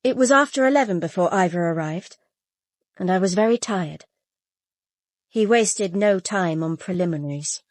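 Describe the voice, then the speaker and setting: An actress playing a wary, reflective young British woman in a radio drama, speaking in a measured, serious way. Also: lowish voice, lively intonation, no room sound, clean studio sound, close to an everyday voice. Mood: weary, reflective. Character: wary young woman, a minister's daughter, reflective and quietly challenging. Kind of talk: radio drama acting with first-person narration.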